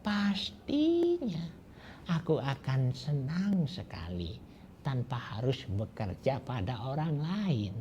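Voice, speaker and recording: A man's voice: mid-range voice, sing-song, slow pace, a little mellow, slight background noise. A man voicing a poor young shepherd who speaks in raised, eager exclamations. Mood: wistful.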